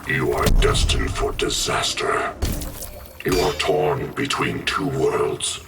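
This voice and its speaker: A man's voice: very deep voice, expressive, takes it slowly, very noisy, heavily acted. A man playing an ominous, ancient prophetic sorcerer in a video game, with a solemn, portentous delivery.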